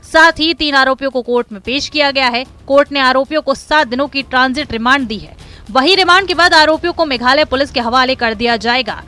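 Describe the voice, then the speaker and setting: A woman's voice: sits high, fairly quick, sharp tone, soft background music. A woman narrating a scripted crime news report in a dramatic, emphatic manner.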